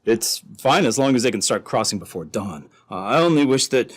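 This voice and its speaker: A man's voice: mid-range voice, expressive, fairly quick, natural voice. A man playing an uneasy, hesitant criminal henchman in an old-time radio adventure. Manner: hesitant, worried. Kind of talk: re-created old-time radio drama acting.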